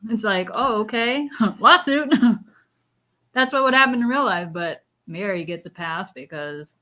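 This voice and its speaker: A woman's voice: medium pitch, lively intonation, measured pace, sharp tone. A woman co-hosting in casual chat, wryly acting out imagined dialogue as she makes her points. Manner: wry, acts out imagined dialogue. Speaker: co-host.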